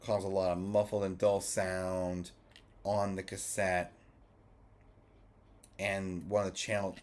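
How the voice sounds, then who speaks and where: A man giving a casual, rambling review, halting and restating his points as he goes. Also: deep voice, little pitch movement. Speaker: vlogger reviewing music formats.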